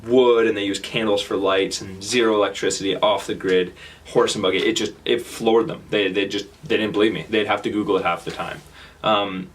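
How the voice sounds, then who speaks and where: A young Canadian man with a Canadian accent giving a casual stage talk, halting with fillers and restarts. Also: lowish voice, a bit bright, a little hiss or hum. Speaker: speaker. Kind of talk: public talk.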